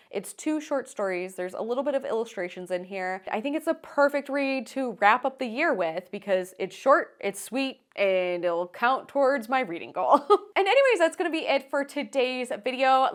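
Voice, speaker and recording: A woman's voice: fairly high pitch, a bit bright. A woman chatting casually and enthusiastically to viewers as the host of a book vlog, with a gushing way of talking.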